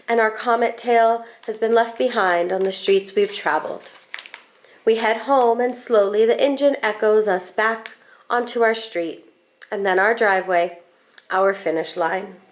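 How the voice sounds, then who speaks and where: A woman reading a children's picture book aloud expressively, with deliberate, animated phrasing and drawn-out words. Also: a little high.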